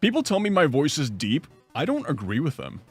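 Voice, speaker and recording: A man's voice: on the high side, wildly swooping pitch, a little fast, slightly rough, soft background music. Rambling young man chatting on stream. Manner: rambling, chatty, reads chat aloud and answers. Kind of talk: casual live-stream chat.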